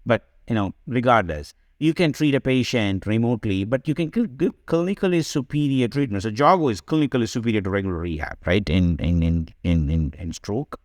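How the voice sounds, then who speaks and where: A man speaks as a guest on a podcast, explaining in a conversational way. His voice sits on the low side and he often tags points with a questioning "right?" The sound is dry, with hardly any room in it. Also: lively intonation, a little fast, few pauses, dry recording. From Sri Lanka. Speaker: podcast guest, digital health company co-founder. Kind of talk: conversational interview answers.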